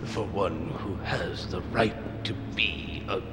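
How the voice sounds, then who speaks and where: Very slow, grandiose dying old man. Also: low-pitched, noisy background. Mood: grandiose, bitter. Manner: grandiose, solemn, weary. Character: dying megalomaniac old man who craved godhood. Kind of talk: dramatic video game monologue. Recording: reverberant hall, loud music over voice.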